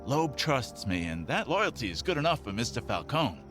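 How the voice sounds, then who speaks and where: A man's voice: mid-range voice, sing-song, normal speed, over music, natural voice. A man playing a smug, needling crook on the take in an audio drama, with a cocky, taunting manner. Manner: taunting, mock-wounded, cocky.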